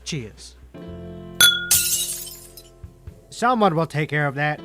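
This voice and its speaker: A man's voice: fairly high pitch, lively intonation, normal speed, music in the background, close to an everyday voice. A man playing a suave, flirty mafia boss in a cartoony 1950s voice, speaking politely and self-assuredly in a scripted roleplay. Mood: composed, courteous.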